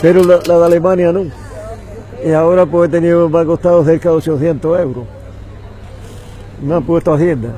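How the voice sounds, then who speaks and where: Older man, mellow and matter-of-fact. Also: on the high side, fairly even intonation, brisk, pauses often, some chatter behind, poor audio. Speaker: returned emigrant. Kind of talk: casual street chat.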